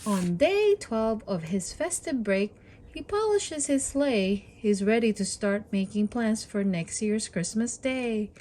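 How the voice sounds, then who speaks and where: A woman reading aloud to young children as a storybook host, in an expressive, deliberate and encouraging way. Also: mid-range voice, background noise.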